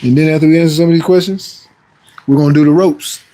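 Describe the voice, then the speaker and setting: A man's voice: fairly high pitch, fairly even intonation, fast, dark tone, a little hiss or hum. A man in his forties hosting a fitness livestream, casually chatting through viewer questions in a laid-back, rambling way. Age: 40s. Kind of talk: casual live-stream chat answering viewer comments.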